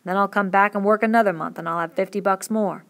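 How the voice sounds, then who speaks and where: A woman voicing a weary, quiet ranch worker who speaks in a soft rural drawl. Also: medium pitch, steady tone, fast, gravelly.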